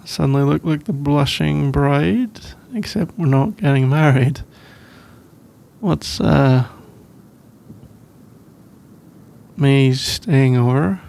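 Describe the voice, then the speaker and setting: Casting: male actor as drowsy young British student boyfriend, easygoing, halting, gravelly, unhurried delivery for intimate ASMR roleplay. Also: mid-range voice. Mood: drowsy, affectionate. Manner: hesitant, halting, rambling with soft laughs.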